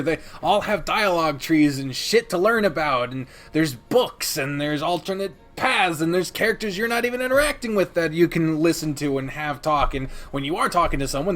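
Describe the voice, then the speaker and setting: A man's voice: a little high, a bit bright. A man giving a casual, opinionated countdown review of games, rambling and emphatic with plenty of swearing. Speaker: video host and game reviewer.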